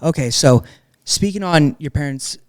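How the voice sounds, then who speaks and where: A man's voice: a little high, expressive, moderate pace, dry recording, studio quality. A man hosting a podcast, asking casual, slangy questions with some "um" fillers. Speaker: podcast host. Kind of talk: casual interview chat. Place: podcast studio. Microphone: podcast microphone.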